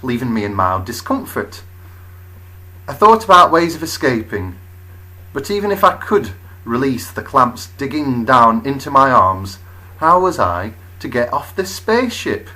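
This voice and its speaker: A man narrating a comic first-person story as a storyteller, expressive, with wry, deadpan understatement. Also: medium pitch, rough recording.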